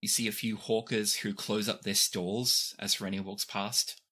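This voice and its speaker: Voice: sits low, level tone, moderate pace, fairly bright tone. A game master narrating a tabletop adventure in a descriptive, conversational way.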